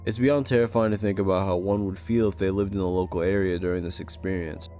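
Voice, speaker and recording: A man with a low-pitched voice narrates a scripted video essay. His delivery is measured and serious. Music plays faintly behind him. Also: steady tone, warm tone. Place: close-recorded voice-over with music behind.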